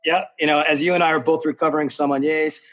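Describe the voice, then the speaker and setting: A man hosting a panel discussion in a relaxed way, with lots of "uh" and "you know". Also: on the high side, fast talker, low-quality recording. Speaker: host.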